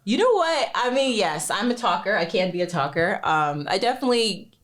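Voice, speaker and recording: A woman's voice: medium pitch, very animated, fairly quick, a bit bright. A young woman attorney from Texas, chatting casually as a podcast guest and laughing easily.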